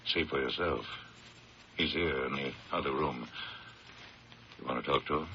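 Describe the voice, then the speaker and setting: An actor playing a pragmatic, dryly skeptical campaign aide, brisk, wry and persuasive, in a 1970s radio drama. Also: male voice, very deep voice. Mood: coaxing. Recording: muffled, noisy 1970s radio broadcast.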